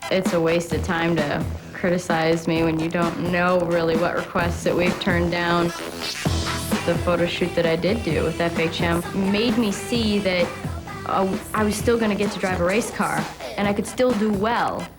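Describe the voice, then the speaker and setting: A woman in her twenties, a race car driver, speaking candidly in an interview soundbite. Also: lowish voice. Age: 20s. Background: music.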